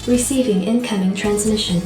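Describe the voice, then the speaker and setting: A woman plays a flat, detached female computer voice that reads out status reports. She sounds roomy and somewhat distant. Clear music plays behind her. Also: medium pitch, fairly quick, close to an everyday voice.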